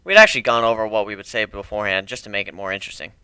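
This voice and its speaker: A man giving an expressive dramatic reading of a first-person fantasy story as its narrator. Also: fairly low pitch, racing, smooth, dry recording.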